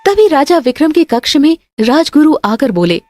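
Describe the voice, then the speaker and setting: A woman tells a cartoon story in a fairly high voice. Her delivery is expressive, with lively swings in pitch, over a soft music bed. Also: normal speed, no echo. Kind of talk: scripted cartoon story narration.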